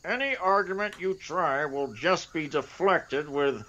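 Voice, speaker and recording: A man's voice: a little high, unhurried, bright, forceful tone. An actor playing an elderly man in an audio comedy, emphatic, theatrical and earnest, with a dull lo-fi sound. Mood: emphatic, rueful. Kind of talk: audio comedy drama acting.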